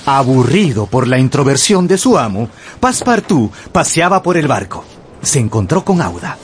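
A man narrating a radio drama from a script in a storytelling, clearly articulated way. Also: mid-range voice, very animated, moderate pace, slight background noise. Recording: music behind the voice.

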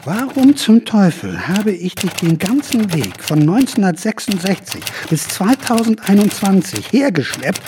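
Exasperated sixties writer, radio drama, theatrical, volatile, hugely animated pitch, noisy recording with typewriter clatter. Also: male voice, full character voice. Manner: volatile, swinging between muttering and shouting. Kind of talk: German radio drama acting.

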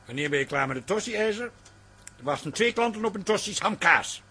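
An actor playing a wounded, sulky husband, sarcastic and melodramatic, in a radio comedy drama. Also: male voice, high-pitched, animated, brisk, bright, forceful tone, breathy edge, heavily acted. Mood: hurt, accusing.

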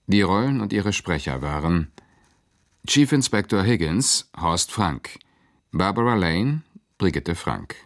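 A man reading the credits of a radio play as announcer in a measured, formal scripted style. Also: low-pitched.

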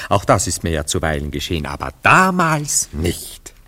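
An actor playing a dreamy, self-absorbed young romantic in a German radio play, speaking in a wistful, lyrical, theatrical way with hesitant pauses. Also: male voice, low voice, wildly swooping pitch, sharp tone, full character voice. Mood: wounded, insistent. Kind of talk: German radio play acting, literary dialogue.

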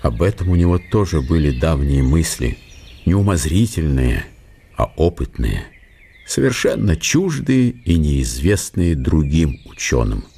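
A man's voice: very deep voice, big pitch swings, measured pace, gravelly, warm tone, slightly rough. A man narrating a literary radio drama from a script.

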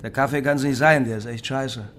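An actor playing a boastful, hard-drinking East German secret police lieutenant in a radio drama, crude, condescending and tipsy. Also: male voice, mid-range voice, fairly even intonation, a little fast, fairly bright tone, close to an everyday voice. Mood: needling, probing. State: drunk.

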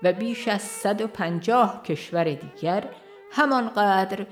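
A woman reading a religious audiobook in a formal, measured way, as a scripted narration. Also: mid-range voice, soft background music.

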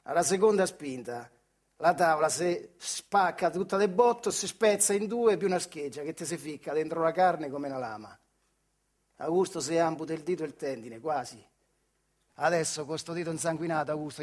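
Man, slightly high voice, central Italian Marche accent, theatrical monologue, dialect storytelling, colloquial and emphatic, short broken phrases. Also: brisk.

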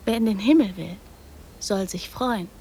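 A woman's voice: medium pitch, measured pace, warm tone, a little hiss or hum, natural voice. A woman playing a precocious, brazen young girl in a radio drama, speaking in blunt, childlike short sentences. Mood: calm, eerie.

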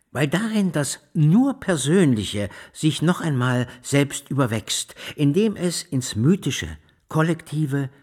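A man's voice: medium pitch, breathy edge, full character voice. An actor playing a fervent male music lecturer in a radio play, declaiming in an ecstatic manner. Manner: declamatory, ecstatic, breaking into singing and shouting. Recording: piano music loud under the voice. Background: piano playing.

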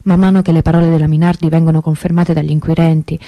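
An actress playing the grieving, bitter sister of a kidnapped girl in a radio monologue, measured and emphatic. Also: low voice, level tone, dark tone, dry recording. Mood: anguished.

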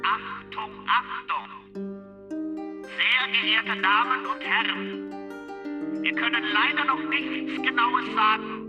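A woman playing an officious government loudspeaker announcer, proclaiming formally in a radio play. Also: a little high, takes it slowly, pauses often, over loud music.

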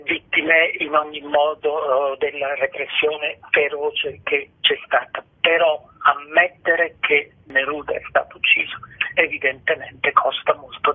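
A man speaking as an interviewed author in an earnest, halting way over the telephone. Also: a little high, husky. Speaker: author interviewed about own book. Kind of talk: radio phone interview. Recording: muffled, narrow phone line.

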